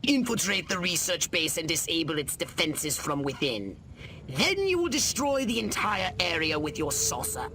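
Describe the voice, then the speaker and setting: Bossy alien overlord, high voice, wildly swooping pitch, imperious, theatrical, video game briefing. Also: male voice, sing-song, normal speed, sharp tone, a little hiss or hum, full character voice. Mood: scheming, instructive. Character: scheming, bossy male alien commander.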